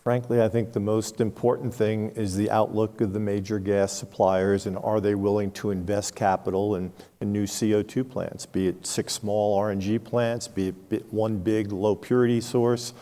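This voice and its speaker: A man's voice: lowish voice, level tone, normal speed, few pauses, dark tone. A man speaking frankly on a conference panel, explaining with examples, recorded in a reverberant hall. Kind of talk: unscripted panel discussion.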